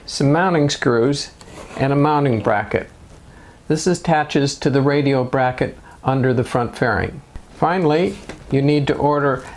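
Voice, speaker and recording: A man narrating step-by-step DIY instructions in a measured, matter-of-fact way. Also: mid-range voice, darkish tone. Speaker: motorcycle DIY video host.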